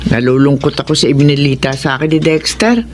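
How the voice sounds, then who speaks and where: A woman playing a warm middle-aged mother in a Filipino radio drama, chatty and expressive, with a Filipino accent. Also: sits low, quick pace, a little hiss or hum, close to an everyday voice. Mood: confiding.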